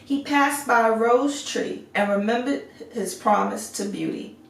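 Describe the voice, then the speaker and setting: A woman reading a fairy tale aloud as storyteller, measured and expressive, in an echoey recording. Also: fairly high pitch, gravelly. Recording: echoey room, far from the mic.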